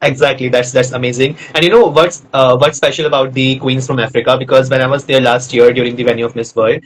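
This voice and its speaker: A man's voice: medium pitch, fairly even intonation, quick pace, slight background noise, poor audio. A man from North India hosting a live online interview, chatty and friendly, rambling with fillers as he asks his questions.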